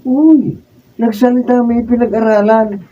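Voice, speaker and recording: Whiny, lazy, high-voiced young husband. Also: male voice, steady tone, a little slow, warm tone. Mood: irritated, sullen. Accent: Filipino. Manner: whiny, complaining. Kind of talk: Filipino radio drama acting. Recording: muffled, noisy room, distant mic.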